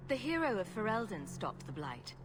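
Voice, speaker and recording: An actress playing a wry, self-assured young rogue adventurer in a video game, with a dry, sarcastic manner. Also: female voice, mid-range voice, sing-song, moderate pace, lightly acted. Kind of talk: video game cutscene acting. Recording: clear background ambience noise.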